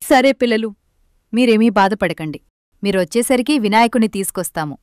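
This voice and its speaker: A woman's voice: a little high, animated, fairly quick, darkish tone, clear, resonant tone, no room sound, studio quality, natural voice. A woman playing a poor, devoted beggar mother and mildly scolding wife in a dramatised moral story. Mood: reassuring.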